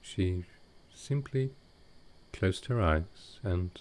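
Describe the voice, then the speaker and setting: A man playing a gentle, grieving vampire lord in an intimate audio roleplay, speaking tenderly and with some hesitation. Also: low-pitched, lively intonation, very slow, full character voice. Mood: sorrowful, reflective. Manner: soft-hearted, hesitant, tender.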